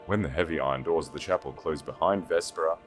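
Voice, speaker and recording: A man reading a dramatic story as an audiobook narrator, solemn and with deliberate emphasis. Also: rumbling low, faint music behind.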